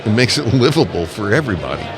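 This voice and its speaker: Busy crowd chatter surrounds a man with a fairly low voice. He talks casually as an interview guest, laying out his points step by step with emphasis, as a representative at a live convention event. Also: very animated, fast. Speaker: amateur radio association representative, interview guest.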